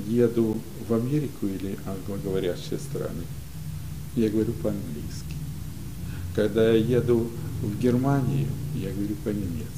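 An older man from Ukraine, telling stories as a guest in an interview, unhurried and full of pauses, recorded in a library studio. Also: fairly low pitch, background noise. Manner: unhurried, many pauses and hesitations. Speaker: guest, community leader. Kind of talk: relaxed storytelling in an interview.

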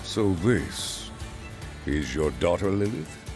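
A haughty demon king with a very deep voice and slow, weighty delivery. He speaks formally and pompously, as in a video game cutscene, with music clearly behind him. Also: big pitch swings, heavily acted. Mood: stern, dry. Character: imposing, haughty demon king, proud grandfather.

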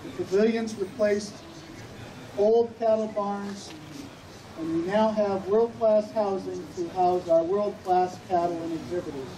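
A woman's voice: medium pitch, measured pace. A woman delivering a prepared public speech at a ceremony. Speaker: partner organization speaker at ceremony. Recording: echoey, distant mic, faint noise.

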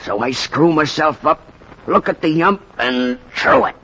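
A man's voice: on the high side, big pitch swings, unhurried, vocal fry, full character voice. A man playing a slangy American baseball fan from Brooklyn, spinning a rhymed tale in a theatrical 1940s radio drama. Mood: dramatic, boastful. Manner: colloquial, theatrical yarn-spinning. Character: slangy streetwise male Brooklyn bleacher fan telling a tale. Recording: muffled, noisy 1940s radio recording.

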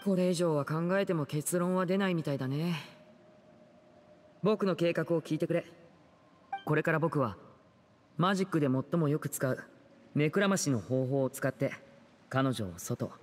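Young male stage magician for anime game, man acting: kind, composed leader, earnest and measured, reverberant. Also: a little high, a little hiss or hum, natural voice. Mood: resolute.

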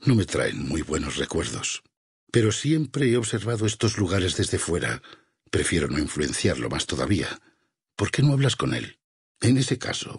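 Casting: devout, vengeful warrior preacher for a video game. Deep, extremely raspy, Castilian Spanish accent, solemn persuasion. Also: male voice, no echo, studio quality, exaggerated voice. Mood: solemn, guarded. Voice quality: husky, gravelly low voice. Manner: solemn, measured, sermon-like persuasion. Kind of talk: dramatic video game dialogue.

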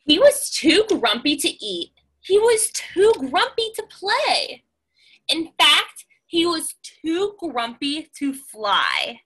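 Young woman doing a kids read-aloud, slow n expressive, bright high voice. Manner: expressive, questioning, addressing young children. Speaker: teacher reading aloud to children. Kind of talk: children's picture book read-aloud.

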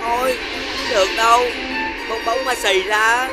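Woman playing a cheeky, highish-voiced chubby piglet. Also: fairly even intonation, unhurried, natural voice. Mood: scared. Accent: southern Vietnamese. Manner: childlike, exclamatory. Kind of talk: children's cartoon dialogue. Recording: loud music behind the voice.

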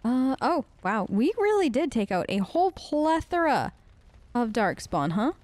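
High voiced girl doing a lets play, super animated, chatty and playful. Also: a little slow, no echo. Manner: playful, chatty, talks to game characters. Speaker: gamer playing in character. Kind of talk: role-play let's play commentary.

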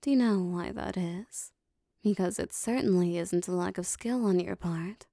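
A woman playing a powerful, possessive female demon devoted to her master, speaking formally and deliberately in an ASMR roleplay. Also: lowish voice, vocal fry, mellow, no echo, studio quality. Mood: possessive, insistent. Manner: formal, deliberate, intimate phrasing.

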